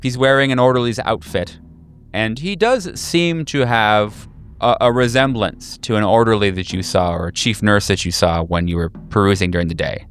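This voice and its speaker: A man narrating a horror tabletop game as game master, improvising haltingly and searching for words. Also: fairly low pitch, fairly bright tone. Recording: music behind. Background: clear background music.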